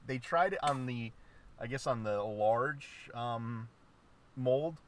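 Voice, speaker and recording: A man's voice: medium pitch, slow. Teasing, stammering male podcast co-host. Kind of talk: casual podcast banter.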